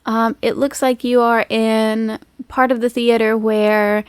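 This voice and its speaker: A woman game master with a high voice, casually narrating an improvised tabletop game. The sound is close and dry, with no room on it. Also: flat delivery, slow, smooth, dry recording.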